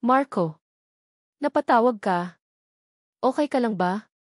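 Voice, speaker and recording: A woman's voice: on the high side, expressive, takes it slowly, no room sound, clean studio sound. A woman voicing an anxious, gushing, two-faced female friend in an audio romance drama. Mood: worried.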